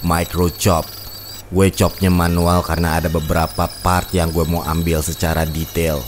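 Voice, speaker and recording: A man's voice: deep voice, little pitch movement, normal speed, slight background noise. A man, casually narrating how he makes a beat, laid-back and step by step. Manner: laid-back, explains his process step by step. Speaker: beatmaker. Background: music.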